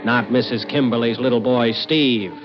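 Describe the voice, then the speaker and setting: An actor playing a scheming, greedy crook who also narrates, persuasive and glib, in 1940s radio suspense style. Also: male voice, medium pitch, steady tone, moderate pace, natural voice. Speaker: protagonist and narrator. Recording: dull old radio recording with music.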